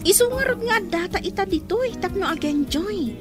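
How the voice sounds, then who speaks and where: An actress playing a reckless, rebellious high school girl in a radio drama, with music under her. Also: lively intonation, normal speed. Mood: careless, defiant.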